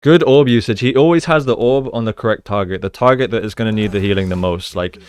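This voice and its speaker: A man with a fairly low, clean and smooth voice breaks down video game play as a coach. He explains things in a measured way, often repeating a phrase to stress a point. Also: darkish tone. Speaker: esports coach, video host. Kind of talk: gameplay analysis commentary.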